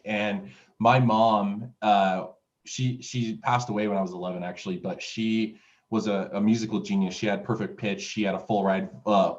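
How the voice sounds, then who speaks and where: Man, twenties, from utah, fairly low voice, full of fillers and restarts, lo-fi recording. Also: a little mellow. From Utah, USA. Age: 20s. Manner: rambling, with many fillers and restarts. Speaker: music producer and interview guest. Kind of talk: casual interview storytelling. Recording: dull, lo-fi sound.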